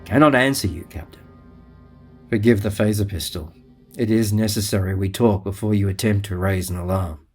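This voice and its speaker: A man's voice: fairly low pitch, darkish tone, close to an everyday voice. Guy playing cool logical alien officer, very formal, no emotion, music behind. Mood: cold calm. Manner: measured, formal, unemotional. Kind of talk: audio comic sci-fi drama acting.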